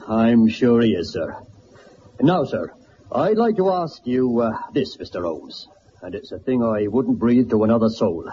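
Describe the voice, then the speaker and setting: Man playing chatty british local copper, very deferential, confiding, mellow voice, dull radio play sound. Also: medium pitch, lively intonation, measured pace, lightly acted. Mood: deferential, hushed. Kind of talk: radio drama acting. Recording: dull, noisy old radio drama recording.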